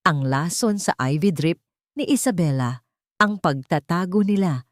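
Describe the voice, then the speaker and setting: A woman's voice: fairly low pitch, animated, a little slow, no room sound, studio quality. A woman narrating a scripted dramatic story as a storyteller.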